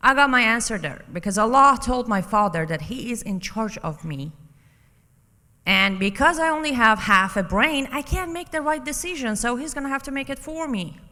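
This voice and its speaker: A woman from Iran, a native Persian speaker, giving a personal testimony as a conference speaker, deliberate and emphatic with dramatic pauses. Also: a little high, animated, pushed and bright. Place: conference hall, public address. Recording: reverberant hall.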